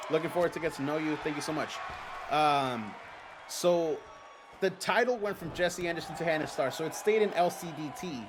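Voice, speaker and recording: A man whose first language is Spanish, hosting a live stream and commentating a simulated wrestling game in an excitable, chatty way. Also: a little high. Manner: excitable, chatty, reads viewer comments aloud. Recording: noisy background.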